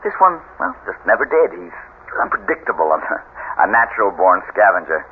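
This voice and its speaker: A tinny, faintly hissy old radio recording. a husband with a very low, expressive voice, soothing and businesslike, slightly evasive as he explains himself. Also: normal speed. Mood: evasive, casual. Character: reassuring, businesslike husband with secrets. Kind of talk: 1970s radio drama acting.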